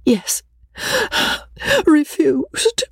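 A woman's voice: fairly high pitch, lively intonation, moderate pace, warm tone, husky, no echo, clean studio sound, pitched above the performer's own voice. A woman voicing a meek young governess in an audiobook, tearfully grateful, with a polite, pleading, theatrical manner.

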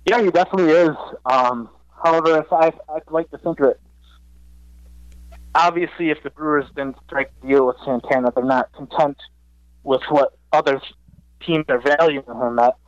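A man's voice: fairly high pitch, moderate pace, no echo. A young man speaking as a guest sports writer, loose and rambling, restarting his sentences often. His voice comes through a dull, lo-fi line with no room sound at all. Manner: rambling, self-correcting. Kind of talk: casual interview answers.

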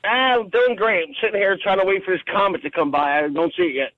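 A relaxed man calls in to a radio trivia game. His voice is high and fast, coming through a tinny phone line. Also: no echo. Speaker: caller.

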